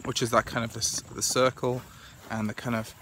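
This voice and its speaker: A man's voice: mid-range voice, flat delivery, a little fast, fairly bright tone, slight background noise. A British man in his forties with a cold, chatting casually to a phone camera outdoors, thinking aloud with lots of fillers. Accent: British English. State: croaky with a cold. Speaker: family vlogger. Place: outdoors in a large garden and meadow. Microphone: phone camera held at arm's length.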